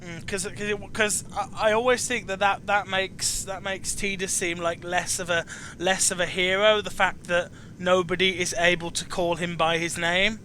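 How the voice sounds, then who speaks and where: A man's voice: sits high, flat delivery, moderate pace, bright, forceful tone, slight background noise. A man hosting a casual chat about video games, stammering and often repeating the starts of his phrases. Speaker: host interviewing a guest.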